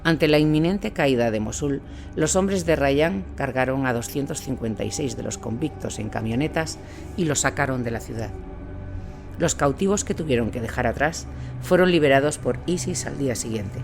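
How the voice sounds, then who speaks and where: A man's voice: medium pitch, moderate pace, gravelly, over music. A man reading scripted documentary narration as the narrator of a war account.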